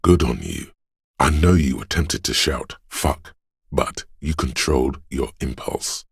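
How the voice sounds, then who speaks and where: A man playing the inner voice of willpower in a game, a stoic, protective conscience who speaks in a measured, firm and reassuring way with a deep gravelly husk. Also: animated, no room sound, clean studio sound, exaggerated voice. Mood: gentle reassurance. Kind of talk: dramatic game voice acting.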